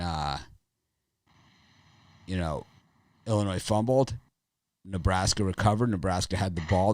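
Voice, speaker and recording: A man with a raspy voice hosting a sports betting show, talking casually in a rambling, opinionated way with lots of fillers. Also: sits low, dry recording, studio quality.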